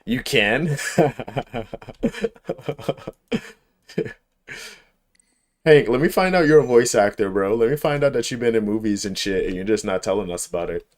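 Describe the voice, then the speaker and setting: A man with a fairly low voice chats casually as he streams a game. His talk is informal and full of slang and swearing. He gets enthusiastic and emphatic, often repeating a phrase to drive it home. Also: animated, fast, hardly any pauses. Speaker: livestreamer. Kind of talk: casual chat while gaming.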